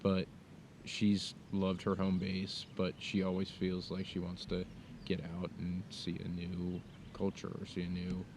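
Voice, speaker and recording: A young man with a very low, even voice talks in a halting, reflective way, circling back and restarting as he thinks. He is recorded outdoors with a clear rumble of noise behind him. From United States. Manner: thoughtful, halting, many fillers and restarts. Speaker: podcast co-host. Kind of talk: casual conversation. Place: outdoors in the mountains.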